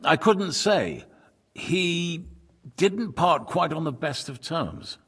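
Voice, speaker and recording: An actor in a video game cutscene playing a courteous, cautious lord of a medieval fortress, formal and guarded, with a husky voice. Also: male voice, fairly high pitch, big pitch swings, slow, fairly bright tone, full character voice. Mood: guarded, diplomatic.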